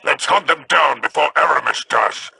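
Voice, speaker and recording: An actor voicing a sly, scheming male alien crime lord in a video game, gravelly and wry with insinuating remarks. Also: a little high, normal speed, pushed and bright, exaggerated voice, low-quality recording.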